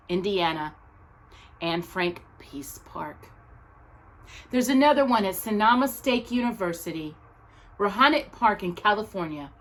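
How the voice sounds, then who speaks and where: A woman reading aloud as a storytime host, speaking earnestly and deliberately to young viewers, phrase by phrase. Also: medium pitch, fairly bright tone.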